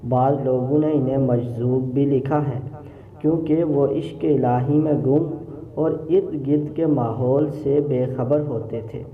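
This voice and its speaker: A man narrating a scripted religious story in a measured, formal storytelling manner. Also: mid-range voice. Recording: roomy echo, phone-like narrow sound.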